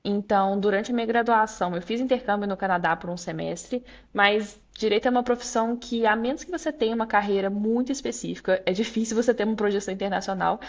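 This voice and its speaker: A young woman with a Minas Gerais accent speaks as a podcast guest, in a mid-pitched, everyday voice. She talks candidly and reflectively, filling pauses with small hesitations. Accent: Minas Gerais Portuguese. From Brazil, Minas Gerais. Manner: reflective, candid storytelling with frequent "é" fillers and laughs. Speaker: guest, law graduate student. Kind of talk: casual interview chat.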